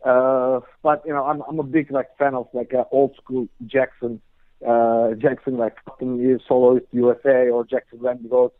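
A man's voice: medium pitch, level tone, normal speed, no echo. A man, a guest guitarist chatting in an interview, rambling with lots of "you know" and "like". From Turkey. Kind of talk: casual interview chat. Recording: dry tinny phone line.

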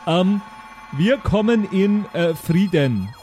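A stylish young space boy, acted by a man, speaks in a high, hesitant voice. He is awkward and cheeky, in an improvised audio play with faint music. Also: animated, takes it slowly, a little mellow. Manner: hesitant, bumbling.

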